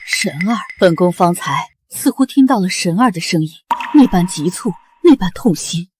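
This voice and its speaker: An actress playing a dignified divine queen mother, regal and measured. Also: on the low side, expressive, darkish tone, slight background noise, close to an everyday voice. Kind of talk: historical fantasy drama acting.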